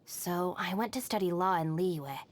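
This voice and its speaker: A woman's voice: lowish voice, moderate pace, natural voice. An actress playing a level-headed, self-reliant young woman in a video game, speaking in a composed, dry, matter-of-fact way. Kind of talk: scripted video game character voice lines. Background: background music.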